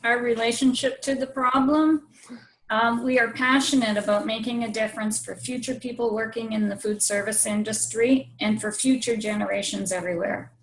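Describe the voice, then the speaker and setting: A woman co-presenting at an online meeting, reading her team's presentation in a measured way. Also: fairly high pitch, steady tone, a little hiss or hum, low-quality recording.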